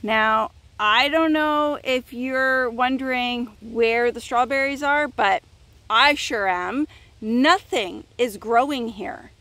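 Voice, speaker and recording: A middle-aged woman with a slightly high voice talks unhurriedly through a garden tour, puzzling aloud over her plants. She is outdoors and holding a phone camera, and the sound is close and dry. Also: slow, sharp tone, no room sound. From Canada. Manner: chatty, thinking aloud, puzzled. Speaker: garden vlogger. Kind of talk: casual walkthrough narration. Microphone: phone camera held while walking.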